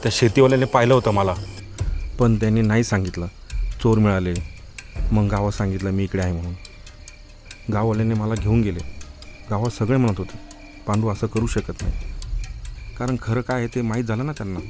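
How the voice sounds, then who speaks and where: A man's voice: fairly even intonation, fairly quick, long pauses, a little mellow, natural voice. An actor playing a sorrowful old village widower who recounts how he lost his wife, in a heavy, mournful way. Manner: low, heavy, mournful telling. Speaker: village man in a short drama. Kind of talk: drama monologue recounting the past. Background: music.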